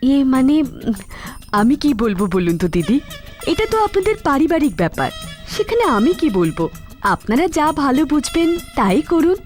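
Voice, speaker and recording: Lively, skeptical cartoon mother. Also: sits high, fairly quick, faint music behind. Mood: polite. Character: polite but skeptical, no-nonsense neighbour mother. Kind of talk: animated cartoon acting.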